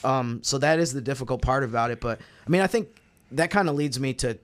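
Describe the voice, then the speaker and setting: Man, medium pitch, podcast panelist, casual sports analysis, measured and explanatory.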